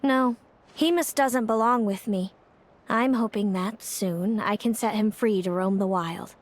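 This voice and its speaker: A woman's voice: medium pitch, measured pace, natural voice. Cool, proud woman acting a young female rival. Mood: calm, resolute. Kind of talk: game voice acting. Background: loud background music.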